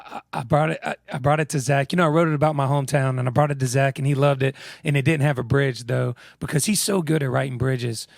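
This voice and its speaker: A man's voice: medium pitch, creaky, no echo, studio quality. A man with a naturally scratchy voice, a musician guest chatting laid-back on a radio show and laughing easily. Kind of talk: radio interview chat.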